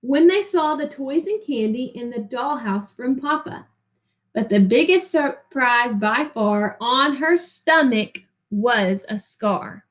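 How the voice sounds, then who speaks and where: A woman reading a picture book aloud like a teacher, expressively, with explanatory asides and pauses for young listeners to answer. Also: fairly high pitch, expressive.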